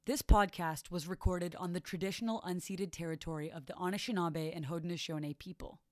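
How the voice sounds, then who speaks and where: A woman reading a scripted podcast introduction in a slow, measured, formal way. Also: fairly low pitch, steady tone, dry recording, studio quality. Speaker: podcast announcer. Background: clear music.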